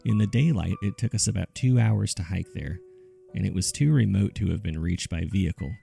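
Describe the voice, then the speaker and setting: Gravelly, low-voiced man narrating mystery case files. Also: brisk, mellow, faint music behind. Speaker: podcast host and narrator.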